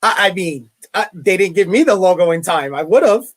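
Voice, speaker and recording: A man's voice: high-pitched, expressive, moderate pace. A middle-aged man with a Brooklyn accent, a guest chatting casually in an interview and often repeating his words. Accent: Brooklyn New York. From Brooklyn, New York. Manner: chatty, repeats words, self-deprecating asides. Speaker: guest, college media relations director.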